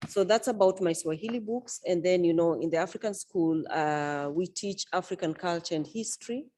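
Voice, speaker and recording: A woman speaks at a book launch with a low, mellow voice. She talks about her work in a measured, unhurried way and fills her pauses often with small hesitations. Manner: measured, unhurried, with frequent fillers. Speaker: author and event organizer.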